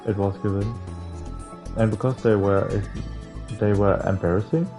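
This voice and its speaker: Low, flat-toned young man reading aloud. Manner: halting, stumbling reading with casual slangy interjections. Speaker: gaming streamer. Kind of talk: reading a visual novel aloud with casual asides. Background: faint game music.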